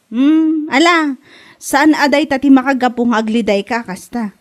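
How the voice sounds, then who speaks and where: A woman's voice: high voice, steady tone, measured pace. A woman playing a nosy, teasing neighbour in a comedy audio drama, chatty and full of gossip. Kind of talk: Ilocano comedy radio drama acting.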